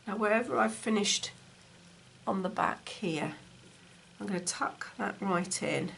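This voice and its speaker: Croaky woman chatting through a craft demo. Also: mid-range voice, unhurried, a bit bright. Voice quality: slightly gravelly. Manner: chatty, talks while stitching. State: croaky from a cold. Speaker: textile crafts teacher. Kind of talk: casual craft tutorial. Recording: faint appliance hum behind. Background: dishwasher running.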